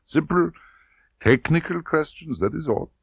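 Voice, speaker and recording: A domineering, menacing female Soviet colonel, put on by a male audiobook reader, pitched well up into a sharp, forceful register. She is stern and commanding, her voice swooping with lively intonation, recorded dry and close. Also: a little slow, gravelly, breathy edge, no echo, exaggerated voice. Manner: stern and commanding, then oily and coaxing. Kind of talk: audiobook character voice.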